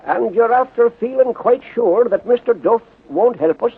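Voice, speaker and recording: Excitable police sergeant, high and very rough-voiced, blunt, for vintage radio drama with tinny sound. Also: male voice, moderate pace, exaggerated voice. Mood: blunt, animated. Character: plain-spoken, excitable veteran police sergeant. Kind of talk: 1930s radio drama acting. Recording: tinny narrow-band 1930s radio recording.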